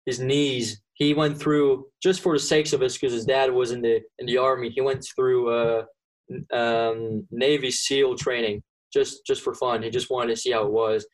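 A man's voice: medium pitch, moderate pace, low-quality recording. A young man from Belgium, answering interview questions casually with lots of ums and restarts. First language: Dutch (Flemish). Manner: relaxed, many ums and restarts. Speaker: golfer being interviewed.

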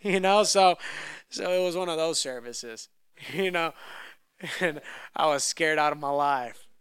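A young man whose first language is Romanian, preaching a sermon and telling a personal story, often restarting on repeated words as he builds to loud, fervent passages. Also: on the high side, unhurried, clear, resonant tone, no echo, clean studio sound.

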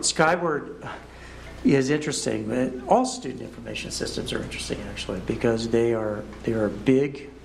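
A man giving an overview at a public board meeting, speaking in a measured, explanatory way with the odd filler. His voice sits at an ordinary middle pitch. A faint hum of room noise runs under him. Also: low-quality recording. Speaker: school superintendent.

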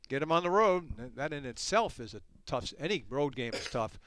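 A man's voice: mid-range voice, animated, a little fast, a bit bright, a little hiss or hum. A man hosting a sports podcast, asking long conversational questions. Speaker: podcast host. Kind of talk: podcast interview.

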